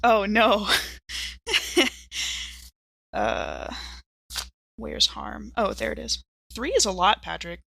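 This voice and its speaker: A woman playing a tabletop roleplaying game, chatting casually and playfully with expressive reactions. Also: medium pitch, takes it slowly, gravelly, pauses often, breathy edge, dry recording, studio quality.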